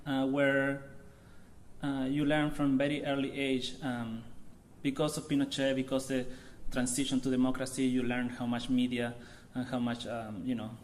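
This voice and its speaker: A man from Chile in his thirties with a Chilean accent, giving an informal artist talk to an audience in a halting way, searching for words. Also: mid-range voice, level tone. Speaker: visiting artist.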